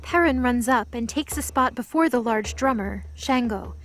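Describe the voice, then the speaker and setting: A woman's voice: on the high side, moderate pace. A woman reading scripted story narration as the narrator of an audio drama.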